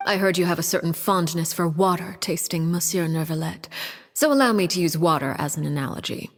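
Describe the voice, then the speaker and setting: An actress playing a poised, coolly menacing aristocratic diplomat in a video game, speaking in a formal, measured manner. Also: female voice, on the low side, long reverb tail. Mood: formal, composed. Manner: formal, measured, veiled threats. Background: music.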